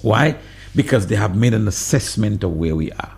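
A male radio host with a low, husky voice that has a gravelly edge. He asks questions and steers the discussion in a measured way, now and then turning to take callers. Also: expressive, a little mellow. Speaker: radio talk show host. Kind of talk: call-in radio talk.

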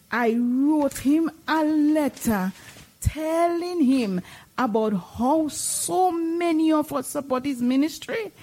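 Woman playing a high, excitable older Dominican villager. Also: exaggerated voice, poor audio. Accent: Dominican Caribbean. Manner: gushing, exclamatory. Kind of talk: dramatised dialogue in Caribbean creole English.

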